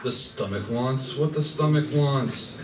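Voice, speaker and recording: A wry, complaining male alien in disguise acted by a man, with a mid-pitched voice in a radio play. It sounds roomy and off the mic, with clear background noise. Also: unhurried, lightly acted. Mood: exasperated. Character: grumpy, exasperated furry male alien in disguise. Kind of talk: live radio play acting. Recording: echoey live venue, distant mic, clear noise.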